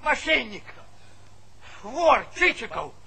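Husky, high-pitched feisty old grandpa. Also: male voice, very animated, takes it slowly, pushed and bright, full character voice. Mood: outraged, histrionic. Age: older. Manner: histrionic, repetitive exclaiming. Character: feisty, theatrical old village grandfather, loudly outraged. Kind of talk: Soviet stage comedy acting.

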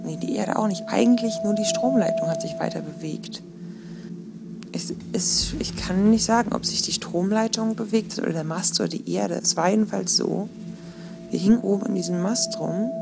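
A woman talking casually and haltingly, thinking aloud as if recording an audio diary. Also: medium pitch, vocal fry. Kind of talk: casual spoken audio diary, recounting dreams. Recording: noisy informal recording with ambient sound.

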